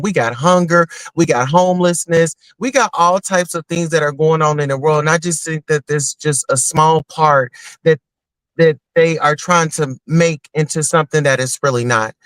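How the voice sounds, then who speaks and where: A man's voice: on the high side, fairly bright tone, clean tone, no room sound, poor audio. A man calling in with his opinions, earnest and hesitant, with many fillers. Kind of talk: casual opinion chat.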